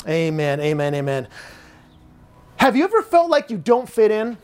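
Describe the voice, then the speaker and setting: A middle-aged American pastor preaching a Sunday sermon in a church, conversational and repetitive in phrasing. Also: male voice, high-pitched, huge pitch swings, normal speed, clean tone, soft background music. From United States. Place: church sanctuary.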